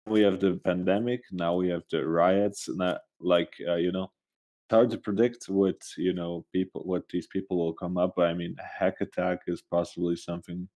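A man from Switzerland talks in a very low, soft-edged voice. He is co-hosting a casual podcast and speaks off the cuff, often halting to find his words. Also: steady tone. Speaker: podcast co-host. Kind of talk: casual, unscripted political chat.

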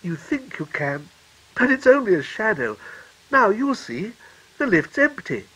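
A man voicing a gentle mother who soothes and corrects a child in a story. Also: sits high, big pitch swings, measured pace, slightly rough, voice pushed up, heavily acted, low-quality recording. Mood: soothing.

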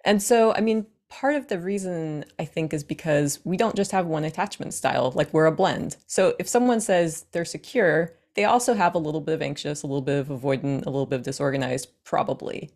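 A woman's voice: sits low, brisk, hardly any pauses, darkish tone. A woman in her thirties, a coach, explaining her field in an interview over a video call from her living room, using everyday comparisons. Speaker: attachment coach, guest. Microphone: webcam on a video call.

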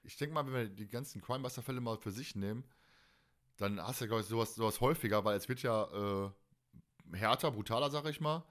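Male podcast host, forties, medium pitch, animated and colloquial, loose rambling chat. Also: brisk. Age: 40s. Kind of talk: casual chat.

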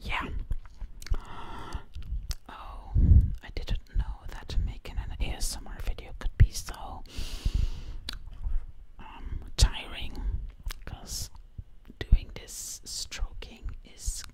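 A young woman in a breathy whisper, doing an ASMR roleplay ramble as a quirky zombie princess, close to the mic and repeating words over and over. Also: extremely slow, pauses often, poor audio. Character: quirky zombie princess time-traveler from the 1920s. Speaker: ASMR performer. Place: indoors, living room. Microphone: close microphone, ear to ear.

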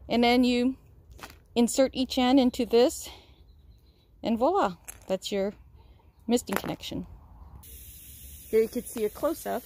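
A woman hosting a casual how-to walkthrough outdoors in her backyard, filming on a handheld phone, upbeat and chatty, with fillers and pauses. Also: a little high, mellow. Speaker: channel host. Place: outdoors in a backyard chicken run.

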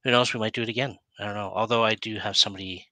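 A man in his forties with a low-pitched, bright voice hosts a relaxed online show. He talks informally and haltingly, often letting sentences trail off. The sound is dry and close, from a headset on a video call. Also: dry recording. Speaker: talk show host. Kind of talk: casual online interview chat. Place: indoor room, on a video call.

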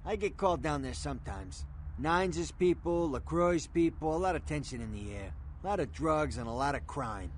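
A man's voice: on the high side, lively intonation, moderate pace, slight background noise, lightly acted. A man voicing a street-wise, world-weary American underworld fixer, terse and matter-of-fact. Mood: matter-of-fact, guarded.

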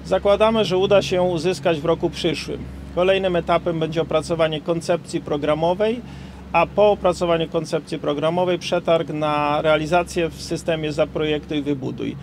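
Young male official, somewhat high voice, precise technical briefing outdoors, faint traffic. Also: steady tone, normal speed, gravelly. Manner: bureaucratic, precise, some fillers. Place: outdoor town square. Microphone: cluster of press microphones on stands. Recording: light outdoor traffic noise.

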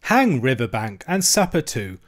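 A man voicing a kindly, brisk male water rat who speaks heartily and reassuringly. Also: medium pitch, big pitch swings, a little mellow.

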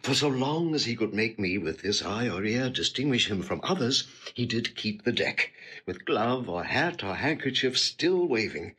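A man's voice: medium pitch, sing-song, normal speed, pushed and bright, slightly rough, full character voice. A man playing a loyal, deferential manservant in a classical Shakespearean audio drama, speaking earnestly and respectfully.

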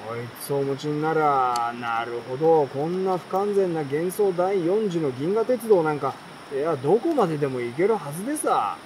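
A man's voice: on the high side, moderate pace, few pauses, distant mic, natural voice, low-quality recording. A man voicing an astonished, folksy, gushing birdcatcher from a storybook. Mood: amazed, gushing. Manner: gushing, repetitive exclamations, rustic wording. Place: outdoors at a campsite by a fire. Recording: steady outdoor campfire, insect and stream noise.

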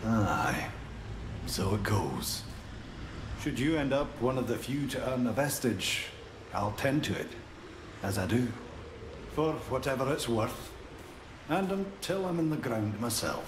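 An actor playing a grim, weary man in a dark fantasy video game, speaking solemnly and fatalistically in archaic phrases. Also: medium pitch, big pitch swings, slow, pauses often. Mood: grim, foreboding. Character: grim weary male NPC tending vestiges in dark fantasy. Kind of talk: video game character dialogue. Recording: echoing game audio with background noise.

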